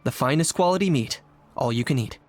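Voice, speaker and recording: An actor voicing a matter-of-fact, deadpan tribesman in a video game. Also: mid-range voice, brisk, a little hiss or hum, natural voice. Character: dry, deadpan tribesman offering a barbecue.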